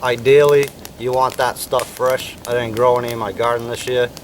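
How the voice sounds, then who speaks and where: A relaxed American man talks his way through an outdoor cooking demo in an unhurried, rambling manner full of fillers. He stands a little away from the microphone, and faint outdoor noise sits under his voice. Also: mid-range voice, distant mic. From United States. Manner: laid-back, rambling, many fillers. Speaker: bushcraft instructor. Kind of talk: casual outdoor cooking demonstration. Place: outdoors at a campfire.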